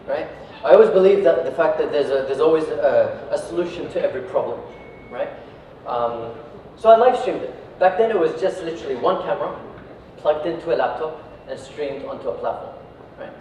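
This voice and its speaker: A man's voice: a little high, expressive, a little fast, few pauses, dark tone, recorded from a distance. A man from Iraq giving an informal, conversational talk to an audience, often checking in with short tag questions. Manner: conversational, peppers sentences with tag questions like right and yeah. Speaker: speaker giving a talk, company founder. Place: echoey indoor venue, recorded at a distance. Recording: echoey room from afar, dull, faint noise.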